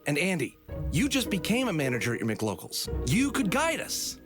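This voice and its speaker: A man's voice: high-pitched, huge pitch swings, moderate pace, over music. A man playing an earnest, upbeat, scheming leader of adventurers in a comedy audio drama. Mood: excited.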